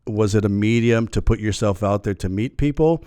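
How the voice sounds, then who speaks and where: A middle-aged man hosting a casual talk show, lively and chatty, often laughing at himself. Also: on the low side, normal speed, clean tone. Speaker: host.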